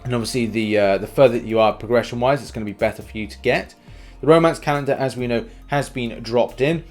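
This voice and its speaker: A man presents video game news in a conversational, informal style. His voice sits at an ordinary male pitch. Music plays underneath his commentary. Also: quick pace, darkish tone. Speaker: host of a gaming news video. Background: background music.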